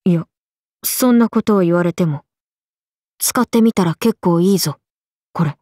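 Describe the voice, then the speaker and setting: Actress as earnest airheaded swordswoman, young, mellow, unhurried, deadpan, dry studio sound, anime game. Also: lowish voice, no room sound, close to an everyday voice. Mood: proud, matter-of-fact. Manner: deadpan, plain, slightly blunt. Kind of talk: anime game character voice acting.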